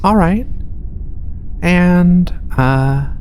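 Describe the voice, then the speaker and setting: A woman voicing a patient alien feline researcher in a soft-spoken ASMR roleplay, with hesitant, reassuring questioning over a ship-like ambient rumble. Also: drawn-out words. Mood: patient, clinical. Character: female alien feline researcher, patient, clinical, gently playful. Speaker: medical examiner.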